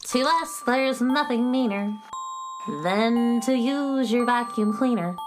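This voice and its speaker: A woman's voice: on the high side, slow, music in the background. A woman voicing a small, melodramatic robot pest that loves mess, in a children's radio play with sing-song delivery. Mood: pleading, sing-song. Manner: melodramatic, exclamatory. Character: small pink-eyed icky robot pests, dramatic, mess-loving.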